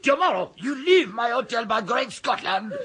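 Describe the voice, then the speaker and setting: An actor playing an excitable, indignant French hotel manager with a French accent and blustering, mangled English in a radio comedy. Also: male voice, very high-pitched, moderate pace, exaggerated voice. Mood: outraged. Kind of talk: broadcast radio comedy acting.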